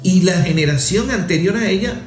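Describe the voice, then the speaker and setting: Venezuelan male journalist host, emphatic and repetitive commentary delivery, slightly high voice. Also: animated, fairly quick. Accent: Venezuelan Spanish. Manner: emphatic, repetitive rhetorical phrasing. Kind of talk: political opinion commentary. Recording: roomy echo, faint music underneath.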